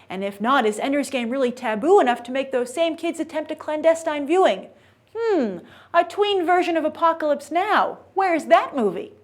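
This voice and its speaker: High-pitched woman reviewing movies. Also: a bit bright. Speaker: movie review show host. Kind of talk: opinionated movie review commentary.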